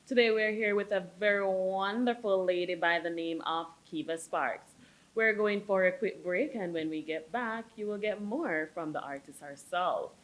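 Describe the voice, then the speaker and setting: A woman's voice: medium pitch, normal speed, poor audio. A woman hosting a talent show interview, encouraging the guest with short replies.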